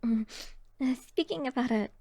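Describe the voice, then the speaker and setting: A woman's voice: high-pitched, moderate pace, vocal fry, dark tone, no echo. A woman voicing a shy, cheery young fox-woman barista in an ASMR roleplay, chatting to the listener in a hesitant, giggly, encouraging way. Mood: earnest, encouraging.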